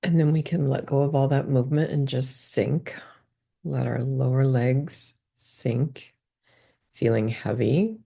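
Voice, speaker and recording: A woman guides a body scan meditation in calm, gentle steps. Her voice sits very low, with a gravelly texture and a mellow, dark tone. She speaks slowly and unhurriedly. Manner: calm, gentle step-by-step instructions.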